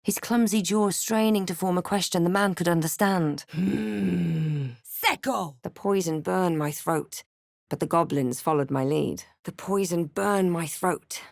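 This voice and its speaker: A woman voicing a wry, resolute adventurer and spellcaster in a fantasy game, with measured, dramatic delivery. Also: on the low side, creaky, no room sound, studio quality. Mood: wry, guarded, then forceful incanting. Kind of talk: fantasy game voice lines: narration, remarks, incantations.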